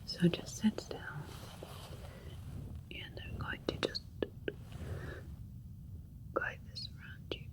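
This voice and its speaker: Woman playing a slow whispering alien doctor. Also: lightly acted, poor audio. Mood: calm, soothing. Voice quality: soft breathy whisper. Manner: slow, soothing, methodical, politely clinical. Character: calm clinical female alien doctor examining an abducted human. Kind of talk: ASMR roleplay.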